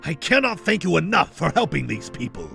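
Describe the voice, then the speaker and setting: A man voicing a gentle, timid amnesiac handyman in a fan comic dub, polite and soft-spoken. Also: on the high side, sing-song, a little fast, creaky, exaggerated voice. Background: background music.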